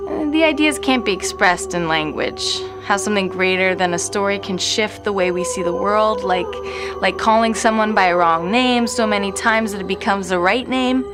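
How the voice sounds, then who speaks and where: An actress playing an intense, abrasive composer with grand ideals, sardonic and casually profane, in a naturalistic audio drama. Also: female voice, mid-range voice, normal speed, lightly acted. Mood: intense, earnest. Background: music playing in the room.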